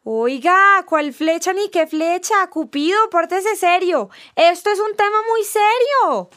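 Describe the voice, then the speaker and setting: A woman's voice: very high voice, expressive, slow, background noise. A woman with a Colombian accent presenting a scripted radio sketch in an indignant, sardonic, scolding way.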